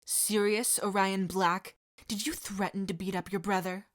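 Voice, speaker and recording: A woman's voice: medium pitch, level tone, measured pace, natural voice. Woman doing a cold haughty witch mother. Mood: stern. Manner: imperious, disdainful, clipped orders.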